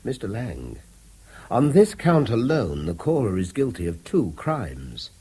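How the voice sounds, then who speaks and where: A low-voiced, mellow English schoolmaster type in a 1970s radio play. He is educated, clipped and forthright, with lively swings in pitch as he questions people under strain. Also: measured pace, husky, heavily acted. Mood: firm, tense. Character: conscientious male tutor under a slander campaign, strained, forthright. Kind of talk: 1970s British radio drama acting.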